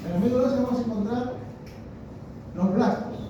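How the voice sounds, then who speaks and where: A man with a high voice teaches a histology class in a very echoey room, picked up from a distance. He repeats himself, restarts phrases and asks students questions, with steady room noise behind him. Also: fairly quick, highs cut off. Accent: Peruvian Spanish. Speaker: histology professor. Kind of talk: classroom lecture. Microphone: distant room mic. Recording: echoey classroom recorded from afar.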